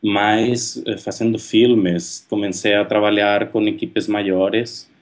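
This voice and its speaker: A man in his thirties from Spain with a Spanish accent, talking thoughtfully to a webcam about his art in a halting way, with many fillers and restarts. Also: lowish voice, steady tone. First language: Spanish or Catalan. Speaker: artist. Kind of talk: reflective monologue to camera. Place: indoor room at home. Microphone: webcam at close range.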